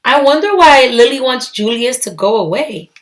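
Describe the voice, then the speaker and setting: A woman reading a children's story aloud, expressive and emphatic, with deliberate phrasing. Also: high-pitched.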